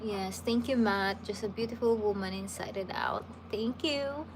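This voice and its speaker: A woman's voice: medium pitch, normal speed, background noise. A woman, chatting casually with viewers as a livestream host and answering their questions. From Philippines. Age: 30s. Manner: relaxed, chatty, with frequent restarts.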